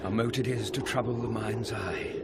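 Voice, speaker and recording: Declamatory British Shakespearean actor as a scholarly gentleman. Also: fairly low pitch, steady tone, heavy background noise, lightly acted. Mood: grave, ominous. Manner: declamatory, measured verse delivery. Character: grave scholarly gentleman confronting a ghost on night watch. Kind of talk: classical Shakespearean radio drama acting.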